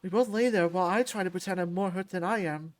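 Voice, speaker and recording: A woman reading a visual novel aloud as expressive first-person narration. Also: on the low side, fast. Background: music.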